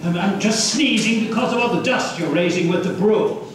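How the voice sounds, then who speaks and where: A man's voice: high-pitched, normal speed, a crowd in the background, lightly acted. A man voicing a mild but stubborn Pilgrim husband in a puppet show, stammering a little as he protests. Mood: stubborn, protesting. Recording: echoey hall, distant mic, noisy background.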